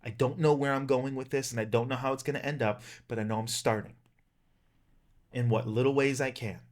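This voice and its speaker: Male vlogger, 30s, medium pitch. Earnest, emphatic rallying monologue, plain-spoken and impassioned. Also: steady tone, brisk. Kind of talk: earnest monologue to camera, personal rallying speech.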